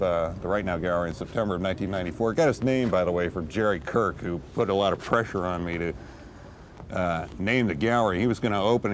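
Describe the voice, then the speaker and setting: Middle-aged man, low voice, rambling, restarts sentences, faint noise. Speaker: gallery founder. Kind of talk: documentary interview.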